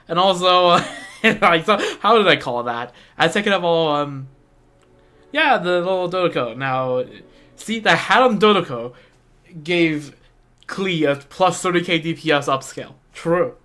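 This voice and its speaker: Casual streamer commentary: mid-pitched voice, halting, offhand, slangy, with game music clearly playing behind. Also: expressive, bright, forceful tone. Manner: halting, repetitive, offhand asides with gamer slang. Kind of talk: casual live gameplay commentary.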